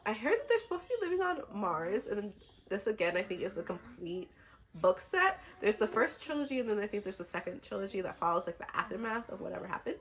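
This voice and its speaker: A woman's voice: mid-range voice, sing-song, fast talker, rough recording. A woman book vlogger talks casually to camera with a mid-range voice. She is a little under the weather but sounds chatty and enthusiastic, keeping things informal. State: a little sick.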